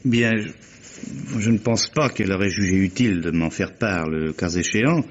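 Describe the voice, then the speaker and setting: A self-assured murder suspect in a French radio mystery, precise and sardonic in his phrasing. His low, husky voice comes through a dull recording. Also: moderate pace. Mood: composed, guarded. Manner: articulate, precise, readily sarcastic. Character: self-assured, sardonic bereaved nephew under suspicion.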